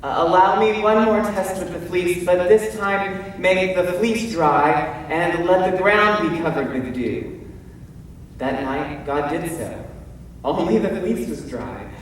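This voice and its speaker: A reverberant, distant hall recording with faint noise carries a male actor as a biblical warrior giving a stage monologue. His voice is high, theatrical and emphatic. He is fearful and doubts himself, with deliberate pauses and hesitations. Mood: hesitant, pleading.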